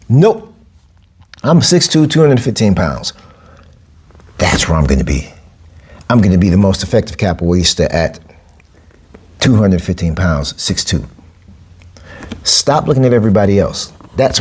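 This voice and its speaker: A man, hosting a solo podcast as a boxing and capoeira coach. He talks emphatically, repeating phrases and jumping up in pitch to drive points home. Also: darkish tone, breathy edge. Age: 40s. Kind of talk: casual solo podcast monologue.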